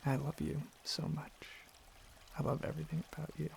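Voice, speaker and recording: A man playing a gentle, affectionate dragon boyfriend in an intimate ASMR roleplay, speaking softly and earnestly with some hesitant rambling. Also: on the high side, flat delivery, brisk, a little hiss or hum. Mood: loving, tender. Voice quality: soft, husky, slightly gravelly, breathy.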